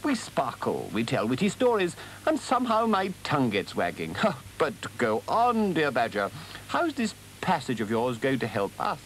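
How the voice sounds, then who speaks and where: A man voicing a pompous, boastful, excitable male toad in an animal storybook, blustering and exclaiming. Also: mid-range voice, normal speed, a little hiss or hum, low-quality recording. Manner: blustering, exclamatory, self-satisfied.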